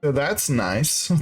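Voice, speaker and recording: A congested man chatting casually on his game stream, rambling, laughing and swearing freely. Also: fairly high pitch. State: congested nose and throat. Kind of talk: casual gaming stream chat.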